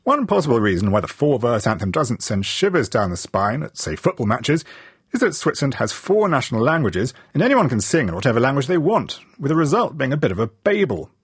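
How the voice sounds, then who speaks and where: Lively male news presenter, dry studio sound. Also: medium pitch, fairly quick, slightly rough, no room sound. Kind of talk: scripted news narration.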